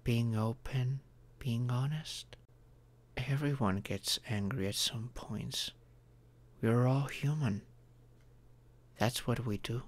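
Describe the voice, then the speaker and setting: A performer voicing a caring, earnest young romantic partner in an intimate ASMR roleplay, with deliberate pauses. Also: medium pitch, breathy edge. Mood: tender, reassuring.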